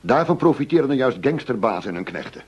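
An actor playing an earnest, driven middle-aged police chief inspector in a radio drama, speaking formally, insistently and with measured phrasing. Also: male voice, medium pitch, big pitch swings, husky, muffled recording. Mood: determined, insistent.

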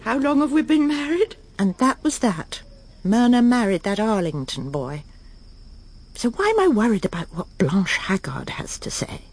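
An actress playing a prim, self-satisfied, controlling middle-aged English wife in a radio drama, brisk and genteel. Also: female voice, on the high side, big pitch swings, a little mellow. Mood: smug. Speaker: lead actress and narrator. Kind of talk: radio drama acting with inner monologue.

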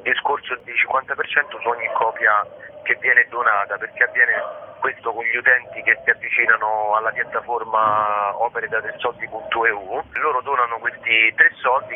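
A man's voice: medium pitch, slight background noise. A man giving an informal interview as a guest, explaining things hesitantly as he searches for words, over a telephone. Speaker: guest, curator of a digital theatre book series. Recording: phone-line sound on radio.

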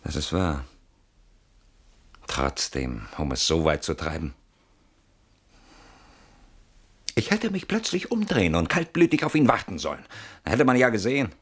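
An actor playing an anxious, defensive husband in a classic German radio play, agitated, stubborn and sardonic, with dramatic pauses and repeated words. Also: male voice, low voice. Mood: tense, brooding. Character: anxious, defensive husband barricaded behind his door.

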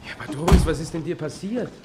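An actor playing a protective young stable lad in a radio play, cocky and confrontational. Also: male voice, a little high, quick pace, heavy background noise, natural voice. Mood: concerned, confident. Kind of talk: German radio play acting.

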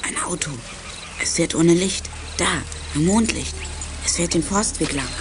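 Man as watchful teenage boy detective, fairly high voice. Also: a little slow, noisy background, lightly acted. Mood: hushed, alert. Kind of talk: German children's radio play acting.

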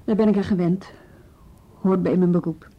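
An actress in a radio play voicing a patient adult police officer who speaks with calm, measured reassurance. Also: female voice, fairly low pitch, muffled recording, close to an everyday voice. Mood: sober. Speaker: police officer, youth bureau.

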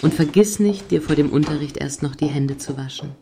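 A woman's voice: sits low, a little fast, dark tone, a little hiss or hum. A woman playing a caring mother and kitchen chef in an audio drama. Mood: motherly, attentive. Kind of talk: German audio drama dialogue.

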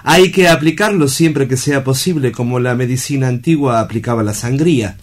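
An actor playing a calm, philosophical sanatorium doctor in a theatrical radio drama, speaking in a measured, clinical and pompous way. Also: male voice, medium pitch, natural voice. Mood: calm, patient.

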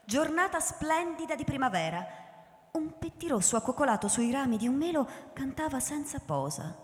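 A woman's voice: high voice, very animated, normal speed, a little hiss or hum. A woman narrating a radio drama in a literary, scripted style. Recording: reverberant hall with music behind.

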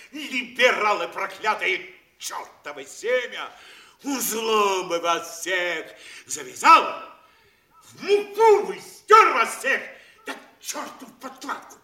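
An actor playing a blustering, furious middle-aged town governor who has been duped, ranting theatrically in an audio stage play. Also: male voice, high-pitched, sharp tone, full character voice. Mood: raging. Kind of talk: classic Russian audio stage play acting. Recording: roomy, echoing stage-play recording.

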